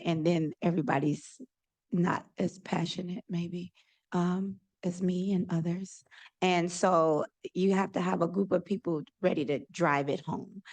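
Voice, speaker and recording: A woman with a loud voice asking a panel a long, earnest question full of asides. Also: fairly low pitch, vocal fry. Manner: earnest, rambling question with many "right?" asides. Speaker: audience member asking a question.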